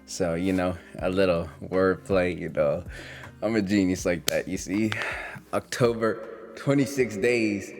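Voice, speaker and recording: A man's voice: low-pitched, fairly even intonation, measured pace, over music. A man in his twenties talking in a casual, confessional vlog monologue, reflective and hesitant as he rambles through his thoughts. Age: 20s. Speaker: content creator.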